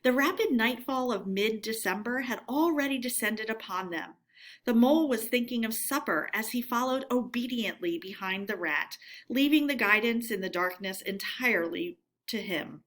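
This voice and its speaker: A woman reading aloud as a teacher, narrating a storybook expressively and deliberately. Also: on the high side, lively intonation, a bit bright.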